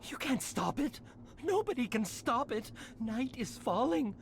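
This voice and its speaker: A frantic, unhinged scientist chanting omens of doom in a thin, high voice that drops to eerie half-whispers. Steady noise hisses behind him in this video game scene. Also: male voice, a little slow, exaggerated voice. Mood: ominous. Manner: ominous, feverish, half-whispered pronouncements.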